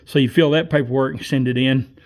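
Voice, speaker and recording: A man in his sixties talks through a long personal story in a loose, folksy ramble. He pauses often to gather his thoughts and keeps a mid-range voice. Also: mid-range voice, steady tone. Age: 60s. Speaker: vlogger. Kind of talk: casual storytelling monologue.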